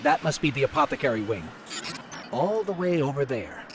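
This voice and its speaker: A man's voice: mid-range voice, very animated, normal speed, background noise, lightly acted. A man playing a chatty, inquisitive little robot sidekick in a game, conversational and wry. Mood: curious. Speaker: player's sidekick. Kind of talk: game companion dialogue. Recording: loud game music behind.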